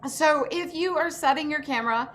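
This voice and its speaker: A woman's voice: high voice, moderate pace, fairly bright tone. A woman hosting an informal online workshop, speaking enthusiastically as she instructs over a video call. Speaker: host and acting self-tape instructor. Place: indoor room on a video call. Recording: background noise on a video call.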